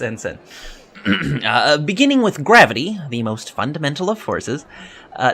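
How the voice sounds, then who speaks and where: A man's voice: lowish voice, bright, forceful tone. A man playing an earnest young alien cadet in an audio drama, speaking politely and precisely with hesitant ums. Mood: eager, explaining. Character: earnest young male alien Starfleet cadet, eager and scholarly. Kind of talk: audio drama interview acting.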